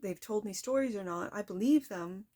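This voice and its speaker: A soft-spoken woman hosting a casual tarot reading, talking straight to her viewers in a chatty, reflective way. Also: mid-range voice, moderate pace. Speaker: tarot reader.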